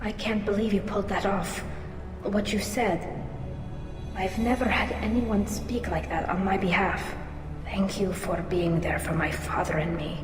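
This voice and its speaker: A woman's voice: medium pitch, steady tone, a little slow. Earnest young alien girl from a video game, helmet filter, big echo, music behind. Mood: grateful, relieved. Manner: earnest, imploring. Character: earnest young female alien engineer, loyal, emotional, pleading. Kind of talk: dramatic video game voice acting. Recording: echoey reverberant hall, music behind.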